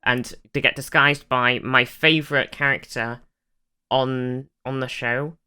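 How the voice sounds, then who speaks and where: Bright, clear-voiced podcast co-host in casual chat. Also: medium pitch, steady tone, a little slow. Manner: informal, sweary, enthusiastic fan. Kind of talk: casual chat, recounting TV episodes.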